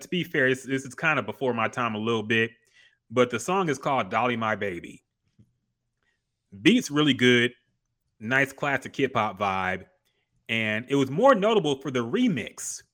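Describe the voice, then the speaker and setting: Blunt, profane man with a bright voice. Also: medium pitch. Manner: blunt, profane, opinionated ranting. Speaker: podcast host. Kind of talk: casual chat reviewing old rap songs.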